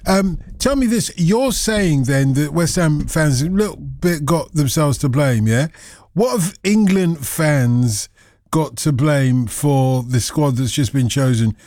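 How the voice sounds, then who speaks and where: A man's voice: a little high, lively intonation, slow, darkish tone, dry recording, clean studio sound. Joking radio phone-in host, a man. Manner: teasing, jokey, talks over callers. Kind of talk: casual radio phone-in chat.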